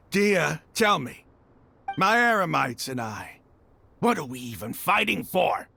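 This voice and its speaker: Mercenary chief: rough, creaky voice, proud and intense, swinging widely in pitch. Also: male voice, very animated, bright, forceful tone, a little hiss or hum, exaggerated voice. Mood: anguished outrage. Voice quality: gravelly. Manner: dramatic, halting, emphatic. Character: bitter, proud desert mercenary leader, emotionally intense. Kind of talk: dramatic fantasy game dialogue.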